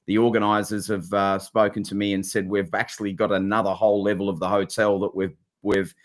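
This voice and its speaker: A man's voice: fairly low pitch, level tone, a little fast. A man with an Australian accent, co-hosting a casual live-stream chat in a friendly, upbeat way with plenty of restarts. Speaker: co-host.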